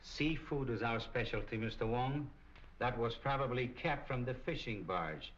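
An actor playing a slick middle-aged crook with a smooth, controlling manner, in 1940s crime film style. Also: male voice, medium pitch, normal speed, a bit bright, slight background noise, lightly acted. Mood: smooth, guarded. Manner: smooth, controlling, veiled threats. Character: slick, menacing male cafe owner and smuggling crook. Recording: dull old film soundtrack, music behind.

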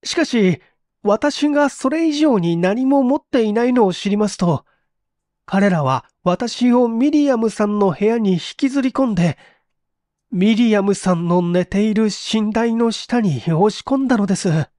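A man voicing an agitated, desperate accused man giving halting, strained testimony in a story reading. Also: sits high, animated, a little mellow, no room sound, studio quality, voice pushed up. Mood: agitated pleading.

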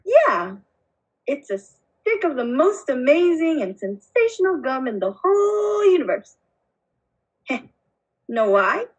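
A woman's voice: extremely high pitch, exaggerated voice. A woman voicing an eccentric, brusque male candy maker in an amateur puppet show, theatrical and dismissive.

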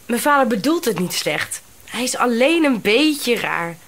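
An actress playing an unruffled, playful daughter in a radio drama, talking in a breezy, teasing manner. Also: female voice, fairly high pitch, big pitch swings, a little slow, fairly bright tone, heavily acted. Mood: amused. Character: amused, unruffled, playful daughter of an eccentric man.

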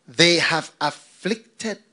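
A middle-aged man from Ghana preaching a sermon into a handheld mic on a church stage, swinging between loud bursts and hushed asides. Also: on the high side, very slow. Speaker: pastor.

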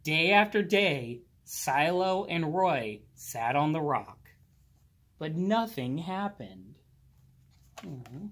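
A man reading a children's picture book aloud expressively, drawing out words and pausing. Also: fairly high pitch. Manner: slow, animated, with drawn-out words and pauses. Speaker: children's storybook reader. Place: indoors, library with bookshelves.

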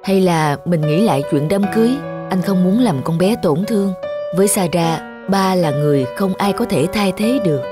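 A kind young man from a cartoon, voiced by an actor, speaking gently and earnestly. Music is clearly audible behind the voice. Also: mid-range voice, normal speed, close to an everyday voice. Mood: troubled. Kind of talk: cartoon voice acting.

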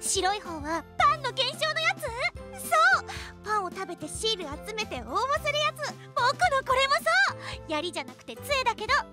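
A woman acting a bubbly, clumsy young student in an anime radio drama, excitable and exclaiming. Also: very high voice, fairly quick, few pauses, loud music behind the voice. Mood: cheerful, flustered.

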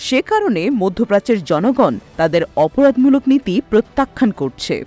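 A woman's voice: fairly high pitch, darkish tone. A woman delivering scripted news narration as a news reader. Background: background music.

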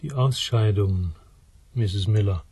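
An actor playing a stiff, reluctant, unsentimental caretaker in a German radio drama, formal, terse and deadpan. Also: male voice, fairly low pitch, unhurried, slightly rough, no echo, exaggerated voice. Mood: stiff, curt.

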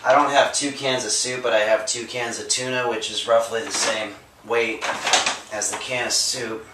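A young man hosting a home workout parody, explaining each exercise with earnest deadpan delivery and halting restarts. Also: medium pitch, very noisy. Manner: earnest, trailing off and restarting mid-sentence. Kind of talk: deadpan fitness instruction. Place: small bedroom at home. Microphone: camera mic from across the room. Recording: echoey room, voice a bit far from mic.

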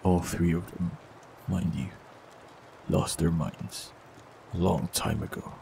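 A man's voice: sits low, takes it slowly, creaky, heavily acted. A man voicing an ancient immortal male forest warlock in an audio roleplay, speaking with dry, teasing humor. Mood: somber. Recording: steady rain noise behind voice. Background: rain.

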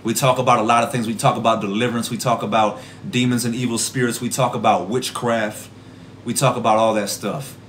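A young man in his twenties, an evangelist from the American Midwest, praying fervently in a rhythmic, repeating cadence with a voice gone hoarse. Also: medium pitch, flat delivery, a little fast, a bit bright, slight background noise. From Rockford, Illinois. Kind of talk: livestream prayer and preaching. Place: small indoor room at home. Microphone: phone camera at arm's length.

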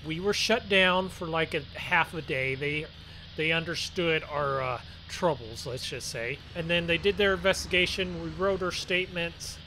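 Vehicle noise runs clearly behind a man with a somewhat high-pitched voice. He hosts a farming game video as casual roleplay. His delivery is chatty and folksy, as if talking viewers through the work. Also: moderate pace, bright, forceful tone, low-quality recording. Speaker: gaming video host. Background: game vehicle engine sounds.

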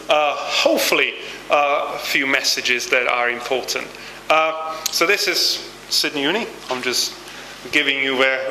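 A man in his thirties with a Czech accent gives an informal talk as a university lecturer, halting with many fillers and restarts, on a handheld mic in a small hall. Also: mid-range voice, pushed and bright, a little hiss or hum. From Czech Republic. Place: small brick-walled hall with projector screen. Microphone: handheld microphone. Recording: roomy hall echo.

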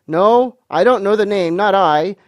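Man voicing a booming high-pitched storybook sailor landlord. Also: sing-song, normal speed, darkish tone, dry recording. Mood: excited. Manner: booming, colloquial seafaring speech. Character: hearty loud one-legged sailor innkeeper, shrewd, blustering old buccaneer. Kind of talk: audiobook character acting.

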